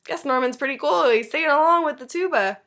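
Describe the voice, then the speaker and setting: A woman's voice: high voice, fast talker, fairly bright tone. A woman reading a storybook aloud as a teacher, adding chatty, encouraging asides in simple words for children. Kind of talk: animated storybook read-aloud with casual asides.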